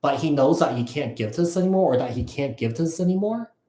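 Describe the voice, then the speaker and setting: A man giving a casual live tarot reading, thinking aloud in a halting, repetitive way. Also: on the high side, expressive, warm tone. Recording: echoey, roomy sound.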